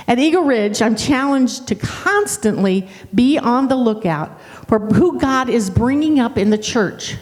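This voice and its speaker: Older woman with a loud voice in a church hall. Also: mid-range voice. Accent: slight Southern twang. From Ohio, USA. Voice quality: strong, loud voice. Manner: chatty and warm, with restarts and laughs. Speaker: associate pastor. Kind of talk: guest church talk. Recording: long reverb of a church hall.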